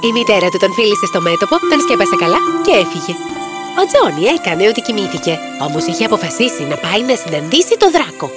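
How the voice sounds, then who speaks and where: A woman's voice: medium pitch, sing-song, over loud music. A woman narrator reading a scripted children's fairy tale.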